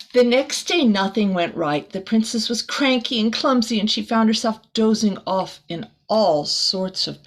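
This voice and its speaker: A woman reading a picture book aloud to children like a teacher, expressively, with soft chatty asides. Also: medium pitch, a little hiss or hum, rough recording.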